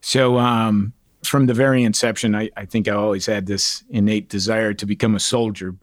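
Man, from Portugal, middle-aged, low voice, earnest candid storyteller. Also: normal speed. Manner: earnest, animated, quotes others in dialogue. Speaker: guest, retired command sergeant major.

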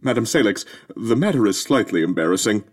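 Pompous knight with theatrical swings. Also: male voice, on the low side, animated, a little fast, a little mellow. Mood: embarrassed, sheepish. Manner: grandiose mock-archaic speech. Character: vain, pompous male knight, easily embarrassed and indignant. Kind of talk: comedic audio drama acting.

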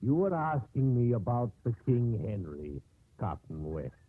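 An actor playing a folksy, deferential first officer aboard a merchant ship in a 1950s radio drama. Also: male voice, mid-range voice, sing-song, a little slow, slightly rough, dry recording. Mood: hesitant. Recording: tinny, noisy old radio recording.

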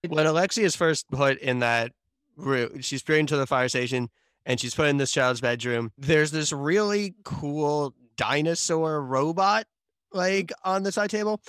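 A man's voice: fairly high pitch, measured pace, fairly bright tone, clear, resonant tone, no room sound. A man co-hosting a casual podcast, chatting about a film with offhand, playful enthusiasm. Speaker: podcast co-host. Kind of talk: casual movie discussion.

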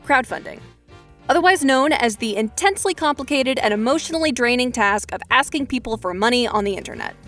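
A woman's voice: a little high, expressive, fairly quick, a bit bright, faint music behind. A woman hosting a podcast, delivering scripted, conversational how-to narration with wry, self-aware asides. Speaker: podcast host.

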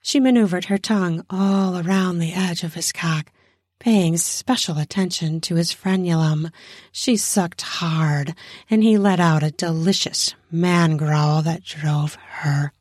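A woman's voice: lowish voice, a little slow, darkish tone, no room sound, clean studio sound. A woman narrating an adult story in a lingering, emphatic way. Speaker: narrator of an erotic audio story. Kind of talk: erotic story narration with acted dialogue.

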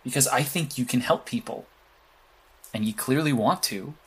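A man's voice: medium pitch, moderate pace, breathy edge, lightly acted. A man playing a blunt, secretive vigilante strategist in an audio drama, clipped and matter-of-fact. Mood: calm, persuasive.

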